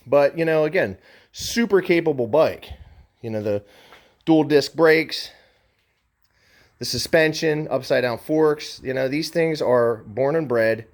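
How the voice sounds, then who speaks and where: A man giving a conversational, enthusiastic, unscripted sales walkaround as a motorcycle dealer. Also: medium pitch. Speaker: motorcycle dealer presenting a bike for sale.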